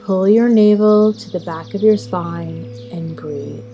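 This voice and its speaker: A woman leading a guided meditation as an instructor, speaking in a measured, soothing way with long pauses. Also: on the low side, warm tone. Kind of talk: slow guided meditation narration. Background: music and birdsong.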